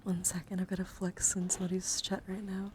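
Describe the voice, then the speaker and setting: A soft-spoken woman doing a casual ASMR live stream, often slipping into whispers and rambling informally, with playful asides. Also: on the low side, monotone, a little fast. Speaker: streamer, host of a live card-collection stream. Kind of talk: ASMR, casual live show-and-tell with chat. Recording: clear background noise and handling sounds.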